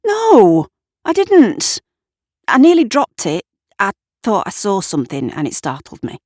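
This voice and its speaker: A woman's voice: mid-range voice, huge pitch swings, a little slow, darkish tone, no echo, clean studio sound. A woman voicing a nervous, defensive, easily startled man in a storybook pub tale. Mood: guilty, defensive. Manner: jittery, defensive, hesitant.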